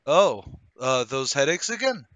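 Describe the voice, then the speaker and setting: A man's voice: medium pitch, sing-song, a little slow, fairly bright tone, no echo. A man playing a chummy, probing old acquaintance in a radio play table read, familiar and insinuating. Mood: probing, sympathetic. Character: smooth, chummy male old acquaintance, probing and falsely friendly.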